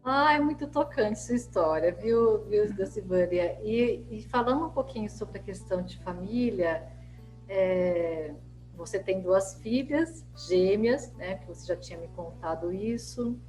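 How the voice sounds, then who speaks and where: A woman interviewer talks in a conversational way at a middle pitch, with music playing underneath. She recaps details as she builds toward her questions. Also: animated, unhurried, darkish tone, rough recording. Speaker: interviewer, host.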